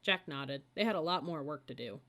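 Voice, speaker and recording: A woman's voice: low voice, expressive, fairly quick, pushed and bright. A woman reading a children's audiobook as scripted story narration. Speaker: audiobook reader.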